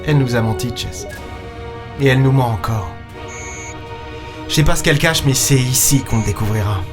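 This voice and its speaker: A man's voice: medium pitch, normal speed, close to an everyday voice. Man playing a world-weary space captain over music. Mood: wary. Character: world-weary male space captain, last human in the galaxy. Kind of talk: science-fiction audio drama, first-person narration and dialogue. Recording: music under the voice.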